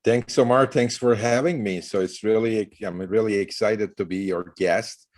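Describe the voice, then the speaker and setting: A man's voice: lowish voice, normal speed, a little mellow. A middle-aged man from Turkey, a startup CEO talking as a podcast guest in a loose, rambling way with many fillers. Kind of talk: casual interview talk.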